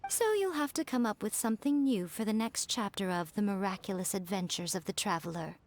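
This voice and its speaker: A woman playing a sly, elegant, self-indulgent lady in an anime video game, with a smug, teasing, drawn-out delivery. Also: medium pitch, lively intonation, a little hiss or hum, close to an everyday voice.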